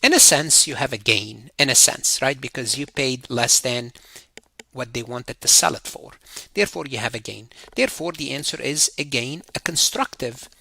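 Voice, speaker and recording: A man's voice: medium pitch, normal speed, creaky, bright, forceful tone, slightly rough, no room sound. A man lecturing in a casual, step-by-step tutorial style, posing questions and answering them himself in a didactic way. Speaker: accounting exam lecturer.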